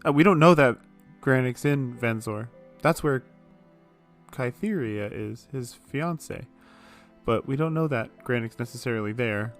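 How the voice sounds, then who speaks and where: A man's voice: medium pitch, a little slow, soft background music. A man playing a tabletop game, chatting in character in a jokey, informal way. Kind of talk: casual in-character game chat.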